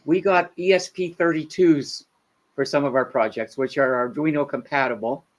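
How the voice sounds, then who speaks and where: This man in his seventies speaks a little high for his age and sex. He chats casually, pausing and restarting as he hunts for the right words. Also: steady tone. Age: 70s. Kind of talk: casual meeting chat.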